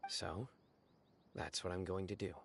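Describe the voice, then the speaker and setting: Game role: stern, composed young enforcer of the law, deep register, formal delivery, some noise. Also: male voice, level tone, creaky. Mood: calm, determined. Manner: grave, measured, formal. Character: stern young male lawman, resolute and composed. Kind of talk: scripted video game acting.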